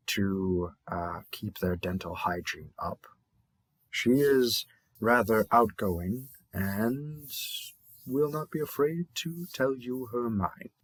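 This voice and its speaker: A man playing a cheerful dating-app host in a scripted roleplay, presenting matches with theatrical emphasis and a husky voice. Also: mid-range voice, fairly bright tone, dry recording. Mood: amused, tactful. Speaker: matchmaking announcer. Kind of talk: scripted roleplay audio narration.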